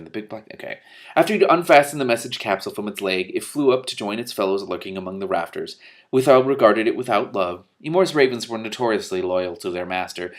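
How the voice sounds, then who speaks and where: Low-voiced man reading a novel aloud. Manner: breaks off to react candidly, with swearing. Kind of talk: expressive book reading with casual reactions.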